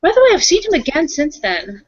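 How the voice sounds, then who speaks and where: A woman improvising roleplay conversation, thinking aloud haltingly and often repeating her phrases. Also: high voice, sing-song, dry recording, dull audio. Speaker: tabletop roleplaying player and game runner.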